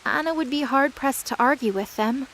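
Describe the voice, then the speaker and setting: A woman's voice: high-pitched, moderate pace, fairly bright tone, slight background noise, poor audio. A woman reading scripted, dramatic story narration as the narrator of a fantasy drama.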